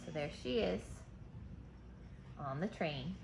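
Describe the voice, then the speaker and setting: A woman teacher reading a picture book aloud to children, pausing for simple explanations and questions. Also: low-pitched, animated, gravelly. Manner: simple explanations and questions addressed to young listeners. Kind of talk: read-aloud storytime with explanatory asides. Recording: roomy echo, faint background noise.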